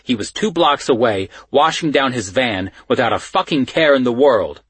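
A man acts out a gruff Baltimore police sergeant in an audiobook. He is blunt and foul-mouthed, with little patience. The voice is slightly husky and recorded close and dry. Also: mid-range voice, animated, moderate pace, a bit bright, no echo, voice pushed up. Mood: angry, bitter. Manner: profane, blunt, impatient. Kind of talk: audiobook character dialogue.

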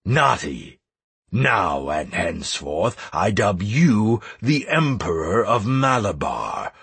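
Guy doing an ancient dragon for a fantasy audiobook, slow and husky, solemn ruler type. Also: fairly low pitch, sing-song, fairly bright tone, no echo, exaggerated voice. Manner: slow, ponderous, pronouncing decrees. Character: ancient male great dragon, solemn, commanding and grandiose.

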